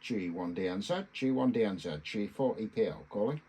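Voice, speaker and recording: A man giving a casual hands-on demonstration as a radio hobbyist host, speaking in a halting, hesitant way with pauses. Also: lowish voice, animated, warm tone, a little hiss or hum.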